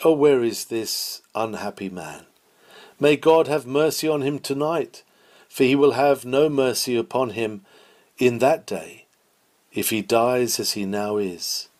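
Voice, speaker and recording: A man's voice: medium pitch, a little slow, darkish tone. A man reading a Victorian sermon aloud with solemn, oratorical, exhorting delivery. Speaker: reader of historical sermons.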